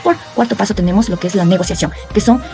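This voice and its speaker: A woman's voice: medium pitch, quick pace, mellow, soft background music. A woman giving an explanatory academic presentation as a student, setting out her points in a didactic way. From Ecuador.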